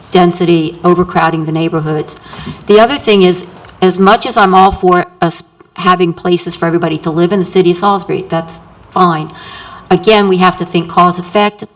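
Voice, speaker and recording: A woman landlord giving an earnest, emphatic public comment at a city council work session, repeating her points. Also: fairly low pitch. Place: council chamber.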